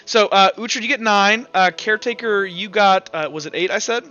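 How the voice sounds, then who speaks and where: High-pitched man, sharp bright voice, game master, casual improvised talk, muffled audio, music behind. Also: moderate pace. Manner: casual, thinks aloud, counts out dice results. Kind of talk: running a tabletop roleplaying game, improvised rules talk and narration.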